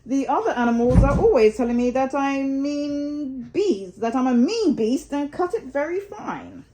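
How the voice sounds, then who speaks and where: A woman's voice: high voice, lively intonation, measured pace, mellow, a little hiss or hum, pitched above the performer's own voice. A woman voicing a worldly, good-natured male water rat from a storybook, chatty and assured in an old-fashioned, chummy way. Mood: jovial.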